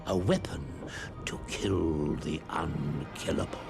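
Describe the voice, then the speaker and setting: A man narrating a roleplay story in a grave, dramatic way, drawing out his words and dropping to hushed tones. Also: a bass voice, creaky. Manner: grave, drawn-out, slipping into hushed near-whispers. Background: music.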